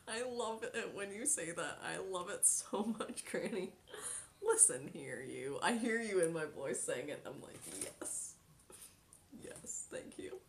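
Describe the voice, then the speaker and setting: Giggly young woman streaming from her bedroom, chatty, goes half whispery sometimes, faint rumble behind. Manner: chatty and giggly, drifts into soft near-whispers. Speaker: Twitch streamer. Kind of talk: casual live-stream chat, reading chat aloud. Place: small bedroom at home.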